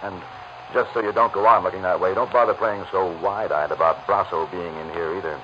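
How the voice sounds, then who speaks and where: A man's voice: low voice, fairly even intonation, fairly quick, lightly acted. An American actor playing a smug, mocking gangster henchman, taunting and drawling in a 1940s radio crime drama. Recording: noisy, muffled old radio recording.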